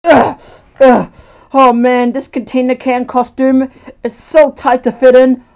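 A woman's voice: high-pitched, flat delivery, unhurried, darkish tone, clear, resonant tone. Woman putting on jealous, scheming unicorn Perky. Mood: scheming, desperate. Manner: melodramatic, muttering to self. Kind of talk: homemade comedy skit acting.

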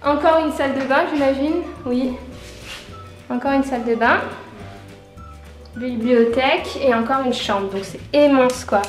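High-voiced young woman vlogging in echoey rooms. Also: unhurried, pauses often. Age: 20s. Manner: enthusiastic, exclamatory. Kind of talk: casual holiday vlog, house tour. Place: holiday villa, rooms and terrace. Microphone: handheld camera at arm's length. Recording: echoey rooms, music over the voice.

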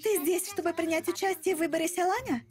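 Teenage fighter girl voiced by a woman, very high, haughty yet friendly, cartoon dub, faint music. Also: quick pace. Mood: friendly, eager. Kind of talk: animation dub acting.

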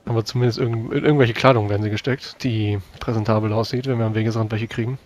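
A man's voice: fairly low pitch, fairly even intonation, fast, darkish tone. A man who plays a tabletop roleplaying game, talking casually through his plans.

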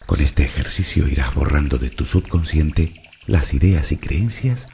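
A man from narrating a guided meditation in a slow, calm, soothing and deliberate way. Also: extremely low pitch, vocal fry, slight background noise.

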